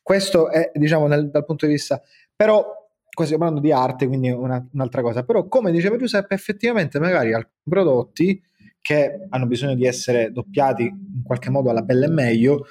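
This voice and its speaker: A man's voice: a little high, a little fast, darkish tone. A man hosting a podcast in a casual conversational style. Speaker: podcast host.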